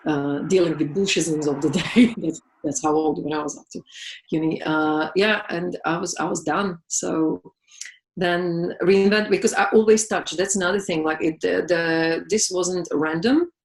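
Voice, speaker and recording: A woman's voice: on the low side, normal speed, poor audio. A middle-aged woman from Slovakia with a Slovak accent, chatting with lots of fillers as a guest in an interview. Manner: chatty and animated, with frequent fillers and laughs. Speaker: guest, massage therapist and business owner. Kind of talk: casual interview chat.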